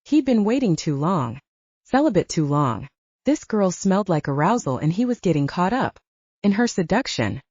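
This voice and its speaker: A woman narrates romance fiction in a scripted audiobook style. Her pitch sits a little low but moves in lively swings. The recording is close and dry. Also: moderate pace, dry recording, dull audio.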